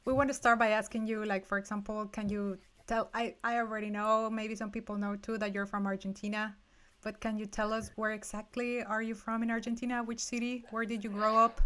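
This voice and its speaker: A woman's voice: medium pitch, flat delivery, brisk, a bit bright. A woman with a Mexican Spanish accent co-hosting a live video stream, eager and rambling, restarting her phrases. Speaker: co-host. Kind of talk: casual live stream chat.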